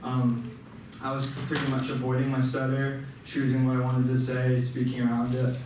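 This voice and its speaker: A man's voice: mid-range voice, monotone, moderate pace, noisy background. Young man who stutters, echoey hall. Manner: stutters with repeated sounds and restarts, earnest. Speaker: student speaker. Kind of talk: personal speech to an audience. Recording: echoey hall, mic far off.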